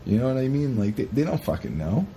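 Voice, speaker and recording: A man co-hosting a podcast, chatting casually in an animated, rambling, sweary way as he retells stories. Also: medium pitch, fast talker, a little hiss or hum. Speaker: podcast co-host. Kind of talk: casual chat retelling a historical story.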